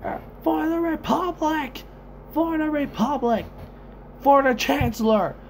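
A man voicing an excitable soldier commander in amateur sci-fi video-game voice lines, giving urgent, halting battle callouts. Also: extremely high pitch, a little hiss or hum, pitched above the performer's own voice. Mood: frantic shouting.

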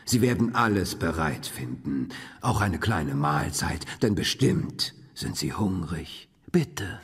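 A man playing a courtly, menacing old vampire count in a German radio horror drama, speaking formally and deliberately. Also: deep voice, animated, fairly bright tone, heavily acted. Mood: courteous, ominous. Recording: long reverberant hall.

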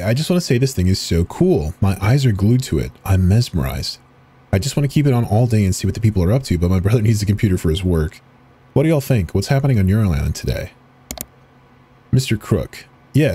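A man's voice: fairly low pitch, mellow, slightly rough. A man reading web pages and installer text aloud for a horror video, adding short casual asides as he clicks through. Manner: reads on-screen text aloud, with brief asides. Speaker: horror game video narrator. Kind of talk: dramatic reading of on-screen text.

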